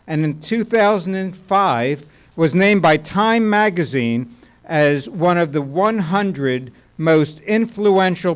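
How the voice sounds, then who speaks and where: A man giving a formal introduction for a guest speaker in a slow, deliberate way. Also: a little high.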